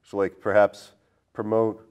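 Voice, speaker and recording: A man hosting a casual film discussion, asking probing questions in a rambling, animated way. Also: fairly low pitch, a little slow, clean tone. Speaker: podcast host.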